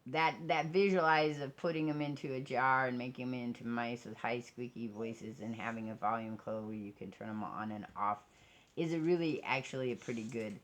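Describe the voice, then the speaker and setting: A woman's voice: extremely low pitch, normal speed. A woman vlogger talking casually and candidly, in an informal, rambling way that drifts from one thought to the next. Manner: rambling, digressive, often trailing off and changing track. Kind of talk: casual rambling personal vlog talk.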